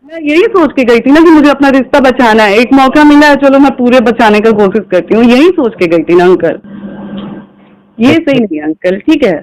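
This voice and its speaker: A woman's voice: high voice, expressive, normal speed, darkish tone, low-quality recording. A young woman from the pleads insistently in a recorded phone conversation, repeating her points. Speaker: caller in a personal dispute.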